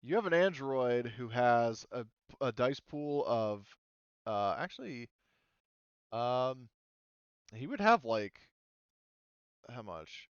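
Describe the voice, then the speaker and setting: A man running an online tabletop roleplaying game as game master, explaining rules casually in a halting way, thinking aloud. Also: medium pitch, sing-song, clear, resonant tone, no room sound, dull audio.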